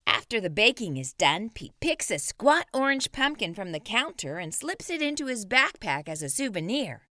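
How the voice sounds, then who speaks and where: A woman reading a picture book to children with a bright, animated voice. Her pitch sits a little high and moves a lot, recorded dry and close. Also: moderate pace, no room sound. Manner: lively, expressive reading. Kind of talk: read-aloud storytelling.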